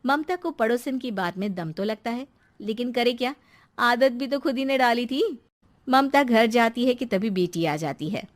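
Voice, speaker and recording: A woman's voice: fairly high pitch, expressive, moderate pace. A woman narrating a scripted story.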